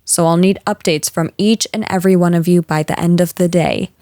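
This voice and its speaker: A woman playing a stern, frustrated office boss in a scripted audio roleplay, speaking to an employee in a firm, measured, exasperated way. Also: on the low side, fairly even intonation, mellow, dry recording, clean studio sound, lightly acted. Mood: stern, brisk. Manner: firm, exasperated, measured managerial delivery with sighs.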